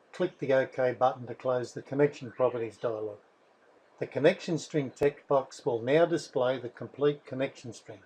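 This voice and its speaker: A man narrates a scripted software tutorial. His voice is somewhat husky and sits at a medium pitch. He moves through each instruction methodically. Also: rough recording. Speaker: software developer presenting a tutorial.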